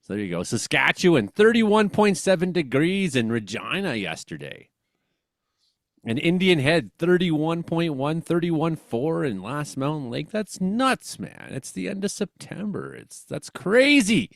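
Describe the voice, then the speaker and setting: A man's voice: fairly high pitch, sing-song, unhurried, creaky, no room sound, studio quality. A man with a Western Canadian accent hosts a comedy weather show in casual, rambling, slangy chat, joking and exclaiming as he comments.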